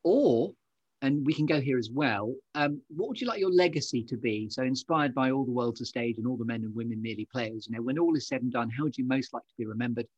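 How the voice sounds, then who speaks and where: A man's voice: mid-range voice, quick pace, a little mellow, breathy edge, no echo, lo-fi sound. A man hosting an interview podcast in a conversational, effusive and wordy way, full of compliments. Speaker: podcast host.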